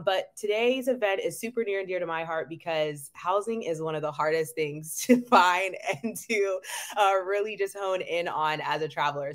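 A woman nurse hosting an online webinar on a video call, chatty and upbeat, with lots of ums and frequent laughs. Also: fairly low pitch. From North Carolina, US. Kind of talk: casual webinar presentation. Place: home room.

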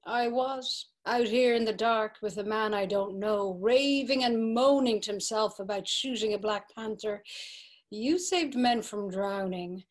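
A woman's voice: on the high side, a little slow, lightly acted. Guarded, brooding young man, read by a woman. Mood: uneasy, resentful. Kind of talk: dramatised literary reading.

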